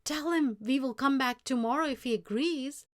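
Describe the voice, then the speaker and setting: A woman's voice: sits high, normal speed, pitched above the performer's own voice, natural voice. Woman as a firm, honest old grandfather. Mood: sad, firm. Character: proud, honest old grandfather, stern but loving. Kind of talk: storybook character dialogue.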